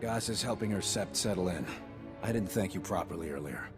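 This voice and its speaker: An actor playing a wry, plain-spoken resistance fighter in a video game, with a dry, informal manner. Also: male voice, deep voice, animated, fairly quick. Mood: wry, guarded. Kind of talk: scripted video game acting. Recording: game cutscene with music underneath.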